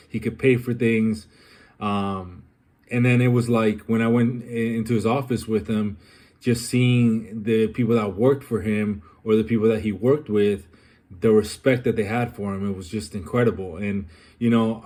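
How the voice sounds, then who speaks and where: A young man from Colombia talking through a personal, reflective vlog, pausing often with ums and uhs. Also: on the low side, darkish tone. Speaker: vlogger.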